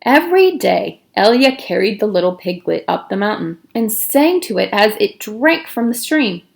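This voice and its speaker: Animated woman reading a story aloud. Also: medium pitch, moderate pace, gravelly. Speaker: read-aloud narrator. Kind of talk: children's novel read aloud, expressive.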